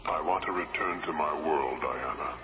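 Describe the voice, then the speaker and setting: An actor playing a calm, soft-spoken alien duplicate of a male professor in an old radio drama. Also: a bass voice, heavy background noise. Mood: serene. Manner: measured, serene, soft-spoken. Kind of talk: 1970s radio drama acting. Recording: dull old radio recording.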